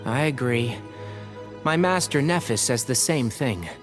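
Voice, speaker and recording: A man's voice: medium pitch, animated, unhurried, music in the background, close to an everyday voice. An actor playing a loyal young male scholar who talks in a plain, agreeable way. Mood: earnest. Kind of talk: video game voice acting.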